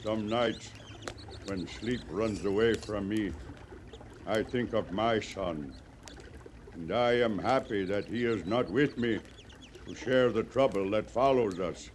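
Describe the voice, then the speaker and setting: A proud, grieving Cheyenne band leader in a 1950s radio western. He is low-voiced and very slow, choosing formal, simple words with solemn gravity. The vintage recording carries clear noise. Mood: sorrowful, solemn. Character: grave, weary Cheyenne band leader, proud bereaved father.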